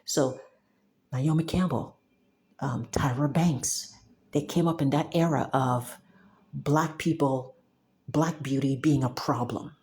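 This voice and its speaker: A woman's voice: a bass voice, fairly even intonation, slow, pauses often, darkish tone. A young woman from Cameroon, talking casually and emphatically to the camera, with restarts and frequent laughs. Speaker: software developer talking to camera. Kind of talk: casual monologue.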